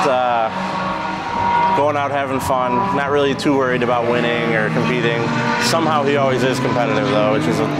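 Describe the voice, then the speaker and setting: A man talks about a fellow driver in a relaxed, amused way. His voice sits at a middle pitch. Loud music plays behind him. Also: rough recording. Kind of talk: casual interview answers. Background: loud background music.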